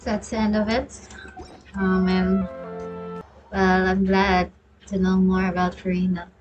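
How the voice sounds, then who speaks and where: A woman game streamer giving casual reaction commentary, halting and trailing off mid-thought. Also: mid-range voice, level tone, highs cut off. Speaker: gaming streamer reacting to a story quest. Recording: game music faintly behind.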